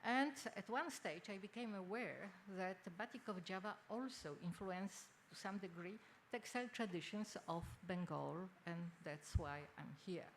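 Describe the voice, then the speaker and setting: An older woman from Poland with a Polish accent gives a formal conference lecture in halting, hesitant phrases. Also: mid-range voice. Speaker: academic speaker, textile researcher. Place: indoor symposium venue. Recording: noisy live symposium room recording.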